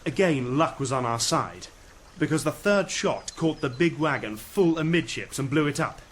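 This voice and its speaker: A fairly high male voice from an actor as a keen young officer reporting to his superior. He is breezy, matter-of-fact and deferential, with faint noise behind him in a radio play. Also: lively intonation, normal speed, natural voice. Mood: breezy, amused. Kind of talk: radio drama acting.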